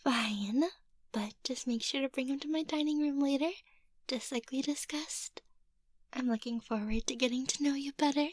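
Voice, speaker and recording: Woman playing a high-voiced, childish young mistress. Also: moderate pace, no echo. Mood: cheerful. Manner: playful, girlish, carefree. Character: childish, bubbly, young-looking lady of the manor. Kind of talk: ASMR roleplay acting.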